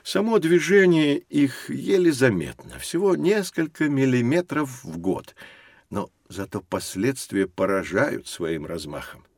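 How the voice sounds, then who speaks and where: An actor playing a patient, learned science teacher who explains things to children in a measured, didactic way for an educational audio drama. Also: male voice, mid-range voice, lively intonation, no room sound, studio quality. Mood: patient, instructive.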